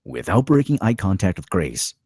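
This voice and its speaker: A man reads screenplay directions as a dramatic narrator. His voice is very deep and husky, swinging widely in pitch, in a dry recording. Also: very animated, normal speed, darkish tone, dry recording.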